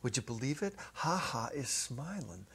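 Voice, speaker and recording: A middle-aged man giving a dramatic literary reading, deliberate and theatrical, drawing out his words. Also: mid-range voice, big pitch swings, gravelly, fairly bright tone, breathy edge. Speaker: story reader. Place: indoor set with holiday decor. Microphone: clip-on lapel mic.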